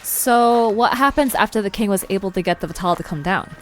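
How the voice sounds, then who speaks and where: A woman's voice: mid-range voice, a little fast, faint music behind, natural voice. A woman playing a casual, impulsive young woman who leads her friends in an audio drama. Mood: baffled.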